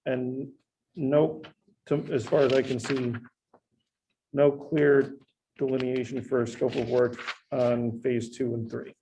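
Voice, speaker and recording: A man's voice: medium pitch, little pitch movement, slow pace, dark tone, a little hiss or hum, rough recording. A man speaking as a town official in a public meeting, summing up documents. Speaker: town official at a bid opening.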